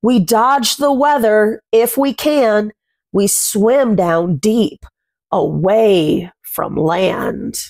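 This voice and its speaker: A woman in her thirties reading a picture book aloud to children, commenting and putting questions to young listeners in simple, deliberate phrases. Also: medium pitch, darkish tone. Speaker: children's read-aloud host. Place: small furnished room at home.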